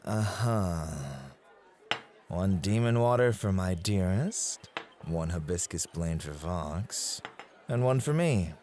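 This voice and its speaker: Guy acting devoted club host, possessive n teasing, low voice, really slow intimate asmr. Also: lively intonation, a little mellow, a little hiss or hum.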